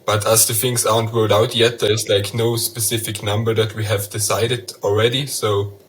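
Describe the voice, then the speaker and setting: Man, low voice, hesitant, fillers, faint background noise. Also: level tone, moderate pace. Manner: hesitant, evasive, many fillers. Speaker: NFT project founder. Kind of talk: casual interview answers.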